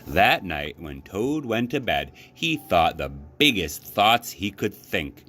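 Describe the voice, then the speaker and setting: A man reading a children's storybook aloud as a library storyteller, with expressive, deliberate phrasing. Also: low-pitched, noisy background.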